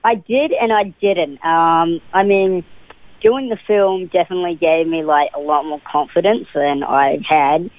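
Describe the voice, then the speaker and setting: A man's voice: fairly high pitch, unhurried, no echo. Young New Zealand man, a hesitant guest full of ums, heard over a thin, boxy phone line. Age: 20s. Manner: hesitant, many "um" fillers and restarts. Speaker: guest actor. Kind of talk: casual interview answers. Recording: tinny, dry phone line.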